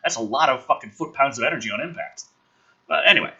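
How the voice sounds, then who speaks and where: A man's voice: medium pitch, lively intonation, quick pace, bright, forceful tone, slightly rough, dull audio. A man reading a script dramatically and breaking off into sarcastic, exasperated, sweary commentary as the host.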